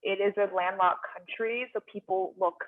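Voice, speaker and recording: A young woman from Nepal sharing a casual, animated story as an audience member on a video call. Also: medium pitch, normal speed. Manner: chatty, animated storytelling with many fillers. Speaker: audience participant. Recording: tinny phone-like video call audio.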